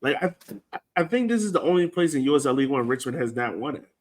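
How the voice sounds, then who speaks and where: An American man chats casually about sports as a podcast co-host. His talk is informal and slangy, with a mid-pitched voice, like fans going over a match together. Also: expressive, fast talker.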